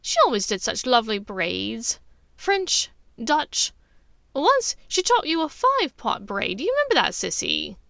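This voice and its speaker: A woman voicing a middle-aged dark witch, sneering, taunting and sharp, in a storybook reading. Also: a little high, huge pitch swings, a little slow, creaky, no echo, studio quality, exaggerated voice. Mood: wistful.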